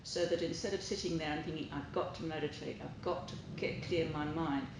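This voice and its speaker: Woman, lowish pitch, personal group sharing, clearly noisy background, strong room echo. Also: fairly even intonation, a little fast. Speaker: meditation group participant. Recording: very echoey room, noisy, distant pickup.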